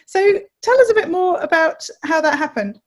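A woman hosts a podcast, reading her intro and then chatting with a guest. Her voice sits high, and she sounds upbeat and encouraging as she asks her questions. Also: lively intonation, normal speed. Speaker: podcast host. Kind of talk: scripted intro and casual interview.

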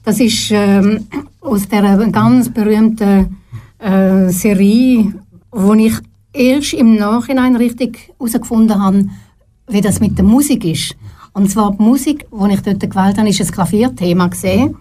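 An older woman with a Swiss German accent recalls her working life as a guest in a radio studio. Her voice is soft and mellow at an ordinary pitch, and she speaks thoughtfully, stopping often to find the right word. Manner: thoughtful, pauses often to search for words. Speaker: guest, retired TV presenter. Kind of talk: relaxed radio studio interview.

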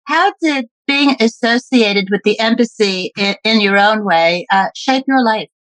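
A woman hosting an interview, reading the guest's bio and asking measured questions. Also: a little high, a bit bright, dry recording, low-quality recording. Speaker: interview host.

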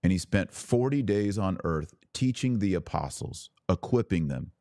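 A man's voice: low voice, animated, normal speed, darkish tone, dry recording, clean studio sound. A man hosting a podcast, giving opinionated religious commentary in a preaching style, emphatic, with rhetorical questions and sarcastic asides.

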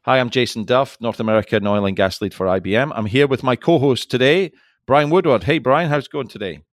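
A man's voice: medium pitch, a little fast, dry recording, studio quality. A man hosting a podcast, chatty and teasing as he introduces his guest. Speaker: podcast host.

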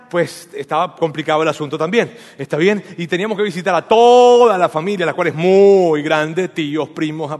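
Male preacher, light high voice, playful storyteller, reverberant hall. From Venezuela. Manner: storytelling with humor, asks the audience questions. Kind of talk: sermon.

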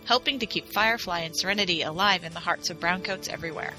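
A woman's voice: on the low side, quick pace, low-quality recording. A woman reading a scripted podcast promo as an announcer. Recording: loud music over voice. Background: loud music.

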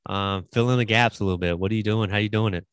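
A man's voice: lowish voice, steady tone, racing, fairly bright tone, clear, resonant tone, dry recording. A man hosting a podcast interview, chatty and rambling with many fillers. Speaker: podcast host.

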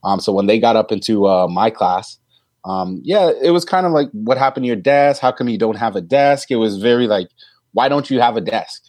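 A man in his thirties, a teacher, answering interview questions in a deep voice, thoughtful and hesitant with many ums and restarts. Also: fairly quick, darkish tone, dry recording, clean studio sound. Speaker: teacher guest.

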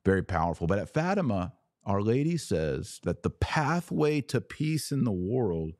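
Deep-voiced American man, casual podcast host. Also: very animated, moderate pace, a little mellow, no room sound, studio quality. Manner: conversational, informal, wryly speculative asides. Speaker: podcast host and religious commentator. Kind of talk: casual solo commentary on livestream.

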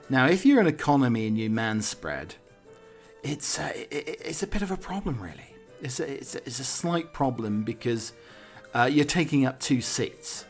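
A man's voice: mid-range voice, gravelly. Wry man rambling over music. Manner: wry, digressive, hesitant asides. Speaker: podcast host. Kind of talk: rambling comic monologue on the week's news.

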